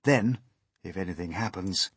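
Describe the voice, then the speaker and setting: A man voicing a wise, kindly old grasshopper in a children's storybook, formal and measured. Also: sits low, husky, no echo. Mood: grave.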